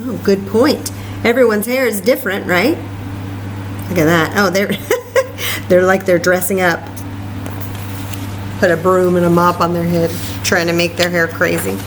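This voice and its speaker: A woman's voice: lowish voice, steady tone, a little slow, long pauses, noisy background. A woman hosting story time for young children, chatty and encouraging, talking to them directly with frequent asides. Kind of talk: sing-song story time for young children, singing, read-aloud and chatting.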